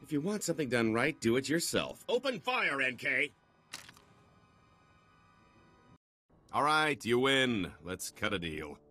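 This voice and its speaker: A man playing a slippery, boastful middle-aged fugitive con artist in a video game, talking in theatrical, wheedling salesman patter with a husky voice. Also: mid-range voice, sing-song, moderate pace, pushed and bright, soft background music. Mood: defiant, scheming.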